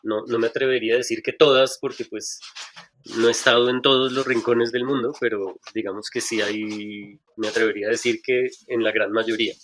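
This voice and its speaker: A man's voice: medium pitch, steady tone, brisk, fairly bright tone, a little hiss or hum, poor audio. A middle-aged man, a stage performer, answering interview questions thoughtfully with frequent hesitations and restarts.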